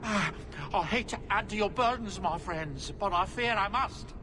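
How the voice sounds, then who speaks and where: A man's voice: high voice, moderate pace, background noise, close to an everyday voice. An actor playing a worried, doting dwarf merchant father in a video game, pleading courteously. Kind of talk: dramatic video game dialogue.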